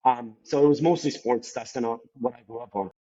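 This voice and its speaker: A man's voice: medium pitch, fairly quick, warm tone. A young man from Colombia, a native Spanish speaker with a Colombian accent, answering as a podcast guest in a loose, rambling way full of "like" and "you know.". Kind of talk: casual interview talk. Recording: slightly dull lo-fi sound.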